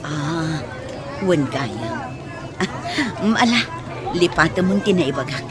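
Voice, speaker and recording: A woman's voice: sits low, expressive, slow, heavy background noise. Woman acting an inquisitive village mother, crowd behind. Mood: curious, awkward. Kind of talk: radio drama acting.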